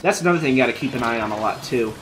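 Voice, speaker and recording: A man live-streaming a video game, chatting casually with viewers while he plays, his voice going hoarse from a long session. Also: mid-range voice, animated, very fast, music in the background. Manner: chatty, thinking aloud while playing. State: losing his voice.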